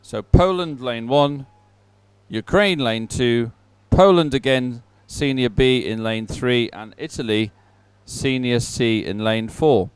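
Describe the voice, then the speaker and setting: A man giving live sports commentary at a regatta in an informal, halting way with hesitant pauses. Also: mid-range voice, smooth, dry recording.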